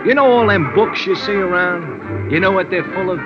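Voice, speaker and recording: An actor playing a chatty, slangy bar manager who uses pompous big words, in old-time radio drama. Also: male voice, high-pitched, lively intonation, normal speed. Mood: gabby, enthusiastic. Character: gabby, hip, self-taught male bar manager. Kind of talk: 1950s radio acting, hipster slang. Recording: dull old radio recording, music behind. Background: music.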